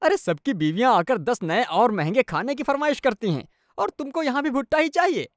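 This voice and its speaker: A woman voicing a young husband in an animated story, teasing and complaining but quick to give in. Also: a little high, no echo, studio quality, natural voice. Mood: exasperated. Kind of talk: animated Hindi moral-story dubbing.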